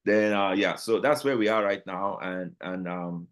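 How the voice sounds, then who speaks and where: A man with a Nigerian accent, a guest talking casually in an interview, animated and full of restarts and repeated words. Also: deep voice, unhurried. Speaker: guest, business owner.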